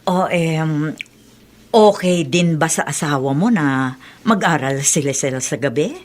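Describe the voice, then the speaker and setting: A woman's voice: low-pitched, measured pace. An actress in a Filipino radio drama playing a gossipy, suspicious woman friend who speaks in a hesitant, confiding way. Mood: hesitant, curious. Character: gossipy, cautious, suspicious adult female friend.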